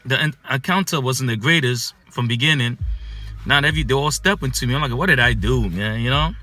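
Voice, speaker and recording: A man, born in Ghana and raised in the United States, telling a personal story in a casual, animated way full of "man" and "you know.". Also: mid-range voice, fairly quick, soft background music.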